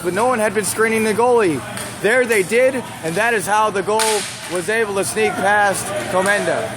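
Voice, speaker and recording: A man working as a play-by-play announcer, voice hoarse from calling many games, talking briskly and breaking into shouts on big plays. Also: high-pitched. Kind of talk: live sports play-by-play commentary. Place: small broadcast booth above an indoor rink. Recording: loud arena crowd and noise.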